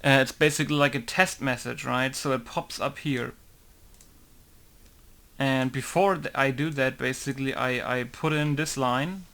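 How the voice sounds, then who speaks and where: A man with a mid-range voice talks through a game modding tutorial in a loose, casual way. He thinks out loud as he goes and keeps leaning on the same filler words. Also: mid-range voice, fairly even intonation, normal speed, vocal fry. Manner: rambling, thinking aloud, leans on "basically" and "right?". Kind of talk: casual screen-recorded tutorial.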